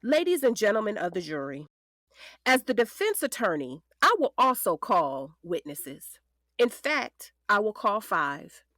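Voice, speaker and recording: A woman playing a poised, determined defense attorney in a scripted courtroom drama, speaking formally and deliberately with emphasis. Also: a little high, fairly bright tone, dry recording, studio quality, exaggerated voice.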